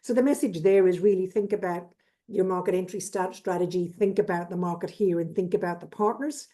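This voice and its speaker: A woman in her fifties with an Irish accent, giving a brisk, businesslike webinar presentation as a trade consultant over a video call. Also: lowish voice, fairly even intonation, dark tone. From Ireland. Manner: brisk and businesslike, lists with "et cetera".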